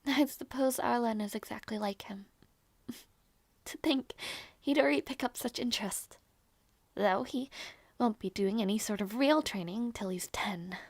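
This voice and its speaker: A woman playing a gentle, devoted young princess in a romantic audio roleplay, speaking in a soft, halting way that often drops to whispers. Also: on the high side, no echo. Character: gentle, devoted young princess longing for her lost knight.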